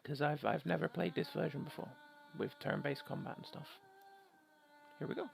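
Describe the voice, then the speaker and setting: Gravelly, creaky man doing wry game commentary. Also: a little high, steady tone, moderate pace. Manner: wry, rambling, joking asides. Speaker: gamer and streamer doing a let's play. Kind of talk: casual game commentary mixed with reading story text aloud.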